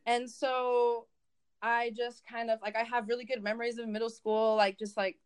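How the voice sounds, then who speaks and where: A woman's voice: on the high side, little pitch movement, moderate pace, clear, resonant tone. A young woman whose first language is Spanish, chatting in a casual interview and rambling along with lots of fillers and restarts. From United States. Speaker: guest, event organizer.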